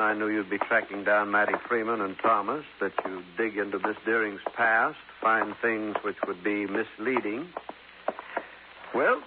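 An actor playing a guarded, courteous but firm American sheriff in 1950s radio drama, speaking formally and deliberately. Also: male voice, on the low side, lightly acted. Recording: noisy, narrow-band 1950s radio recording.

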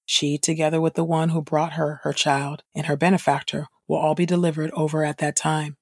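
A woman reading Bible passages aloud from a script in a measured, formal way, as a podcast host. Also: deep voice, little pitch movement, dry recording, clean studio sound.

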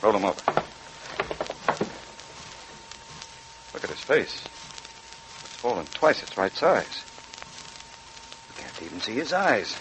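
A man's voice: lowish voice, sing-song, moderate pace, a bit bright. An American man playing a commanding sheriff in a 1940s radio crime drama, giving terse, clipped orders. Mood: grim. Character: commanding small-town sheriff hunting an armed fugitive. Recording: noisy, clicking 1940s radio recording.

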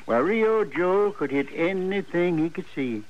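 Folksy old frontier storyteller, swooping voice. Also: male voice, high-pitched, animated, unhurried, muffled recording. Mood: fond, reminiscing. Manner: folksy, rambling frontier speech. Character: talkative old Western man, nostalgic gunfighter storyteller. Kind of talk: 1950s radio western acting. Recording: noisy old radio recording.

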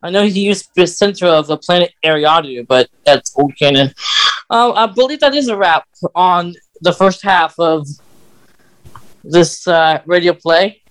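A man co-hosting a podcast in casual conversation, talking in a halting way. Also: sits high, smooth, poor audio. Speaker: podcast co-host.

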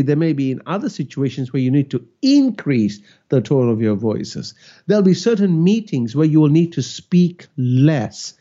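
A man from Malaysia talks through a solo podcast in an animated way, with his pitch rising and falling as he teaches. His voice is mellow and soft, and the recording sounds a little dull and lo-fi. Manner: animated, teaching tone with rhetorical questions. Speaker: cultural intelligence educator, podcast host. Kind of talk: reflective solo podcast monologue.

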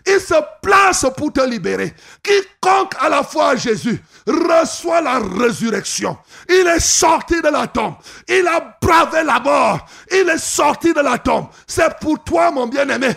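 High, gravelly shouting preacher man. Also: animated. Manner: shouting, drawn-out, sing-song phrases. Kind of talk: shouted revival sermon.

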